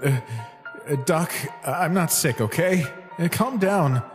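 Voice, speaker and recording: A man's voice: fairly high pitch, lively intonation, slow pace. A man playing a naive, timid young monster test subject, hesitant and childlike, in a dramatic comic dub. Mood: nervous, defensive. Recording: long reverb, music behind.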